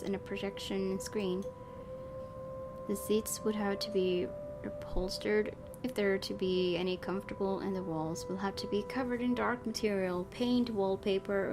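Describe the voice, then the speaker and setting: A woman's voice: medium pitch, creaky. A woman hosting a let's play, reading a horror visual novel aloud and adding casual commentary. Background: music.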